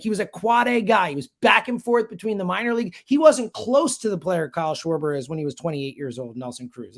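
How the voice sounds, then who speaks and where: A man's voice: high voice, vocal fry, a bit bright. A man giving sports analysis in conversation, speaking emphatically and repeating himself to drive points home. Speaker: sports radio host as guest analyst.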